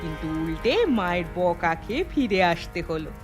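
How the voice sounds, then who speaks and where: A woman playing a sly, dutiful-seeming elder daughter-in-law in a cartoon, with an obliging, expressive delivery. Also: on the low side, moderate pace. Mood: smug. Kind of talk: Bengali moral-tale cartoon acting. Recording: music under the voice.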